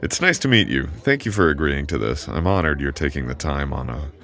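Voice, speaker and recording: Very deep, gravelly immortal boyfriend acted by a man. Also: lively intonation, full character voice. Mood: flustered, charming.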